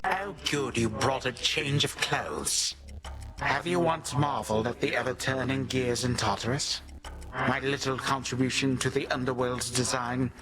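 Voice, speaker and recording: An actor playing an ancient god of time in a video game, a sinister, condescending old villain who speaks in pompous, archaic, theatrical phrases and savors each word. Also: male voice, medium pitch, measured pace, over music, natural voice. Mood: scornful, smug.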